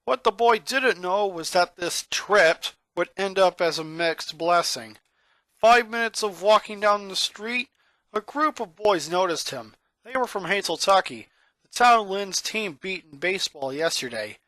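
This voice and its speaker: Male narrator, high-pitched, bright forceful tone, dry sound, scripted story reading. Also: expressive, unhurried, no room sound. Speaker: fan fiction reader, narrator.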